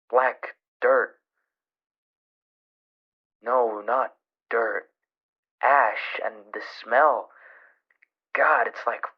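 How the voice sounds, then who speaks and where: An actor playing a frightened man alone in the wilderness, recording horror audio logs in a halting, breathy voice that drops into whispers. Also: mid-range voice, exaggerated voice. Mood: horrified, shaken. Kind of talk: horror audio-log drama. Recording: dull lo-fi radio-like recording.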